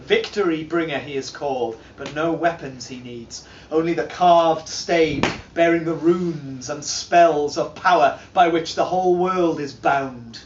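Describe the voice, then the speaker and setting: Male storyteller, slow solemn delivery, a bit high, caught from across an echoey hall. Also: slight background noise. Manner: slow, declaiming, swells to loud peaks. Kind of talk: dramatic reading of an old charm. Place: large timber hall, indoors. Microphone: camera filming from across the room. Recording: distant, roomy and dull.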